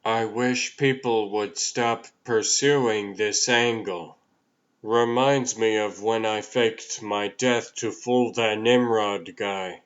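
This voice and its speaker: A man playing an earnest, exasperated male superhero in a comic-book dub, speaking in a measured, serious, weary way. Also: lowish voice, steady tone, highs cut off. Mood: weary exasperation.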